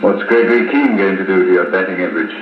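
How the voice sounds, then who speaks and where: An actor playing a weary, stoic army colonel, recounting events in a measured, formal way in 1950s radio drama style. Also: male voice, low voice, lightly acted. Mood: terse, probing. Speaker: narrator and intelligence officer character. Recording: muffled, hissy 1950s wire recording, very echoey.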